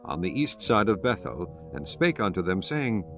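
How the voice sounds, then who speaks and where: Guy with a lowish voice reading the bible, solemn and measured, music playing under him. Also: very animated, a bit bright. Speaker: narrator. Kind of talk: scripted dramatized scripture narration. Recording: music behind the voice.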